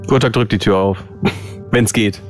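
Man, fairly low voice, casual online role-play player, music underneath. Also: steady tone, measured pace. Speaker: tabletop role-playing player. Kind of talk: casual chat during online tabletop game. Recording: music playing behind the voice. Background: background music.

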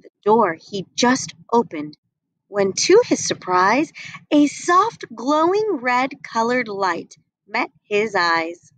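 A woman's voice: on the high side, sing-song, slow, a bit bright, no echo, rough recording. A young woman reading a picture book aloud, expressively, on a webcam at home. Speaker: reader.

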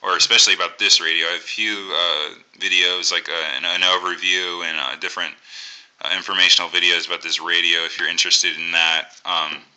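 Man, American accent, deep pitch, clean resonant tone, casual explainer, halting delivery. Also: steady tone, pushed and bright, smooth, rough recording. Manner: halting, thinking aloud. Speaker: amateur radio hobbyist presenting a tutorial. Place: indoors at a table.

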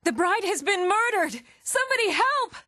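An actress voicing an even-toned young Nordic townswoman and warrior in a fantasy video game, measured and clearly spoken. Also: very high-pitched, no room sound, studio quality, exaggerated voice. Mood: panicked. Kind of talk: scripted video game dialogue.

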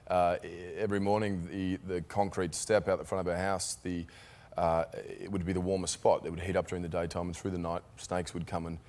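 A young Australian man speaking low and easy as a talk show guest. He rambles through stories and laughs readily. The studio sound stays close and clean. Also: fairly quick. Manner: relaxed, laughing, rambling anecdotes. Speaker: actor guest. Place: television studio. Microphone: guest desk microphone.

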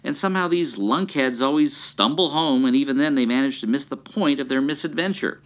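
A man's voice: medium pitch, a little fast, close to an everyday voice. A man playing an enthusiastic, verbose B-movie buff who gives gleeful, pompous documentary-style commentary in an audio drama. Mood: gleeful, knowing.

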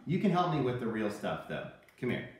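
A man in his thirties presenting a cooking lesson for children, playing the animated straight man. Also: mid-range voice, a little fast, over music. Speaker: host of a children's cooking show. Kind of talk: scripted instructional presenting with puppet banter. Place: home kitchen. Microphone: camera a few meters away. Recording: very echoey room, distant microphone.

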